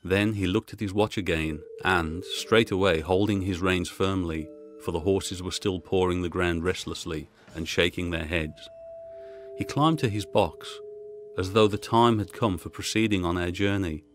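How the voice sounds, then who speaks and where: A man's voice: low voice, steady tone, a little slow, faint music behind. A man reading a gothic horror story aloud as a dramatic audiobook narrator.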